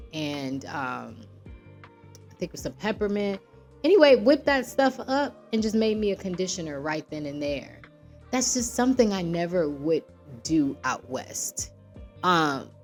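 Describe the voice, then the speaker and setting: An American woman hosting a show, telling personal stories in a casual, conversational and reflective way, often checking in with her listeners. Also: low-pitched. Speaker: podcast host.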